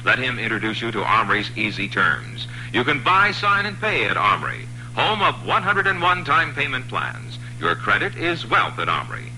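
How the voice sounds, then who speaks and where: A man's voice: mid-range voice, very animated, normal speed, hardly any pauses, pushed and bright, slight background noise, low-quality recording. A man reading a scripted radio commercial for a used car dealer, with a punchy salesman delivery that stresses each point.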